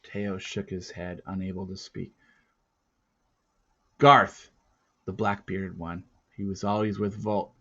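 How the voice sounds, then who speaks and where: Male storybook narrator: lowish pitch, very slow theatrical delivery, slightly dull lo-fi sound. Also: fairly even intonation, pushed and bright. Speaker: storybook reader. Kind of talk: dramatic read-aloud narration with casual asides.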